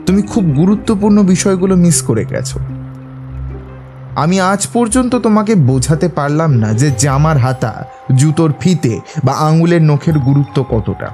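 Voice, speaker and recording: Guy acting as cool confident detective man, audio drama, slightly high mellow voice, lectures in measured way. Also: animated, faint music behind, lightly acted. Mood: musing, instructive. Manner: measured, authoritative, didactic. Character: confident, analytical male detective, coolly instructive.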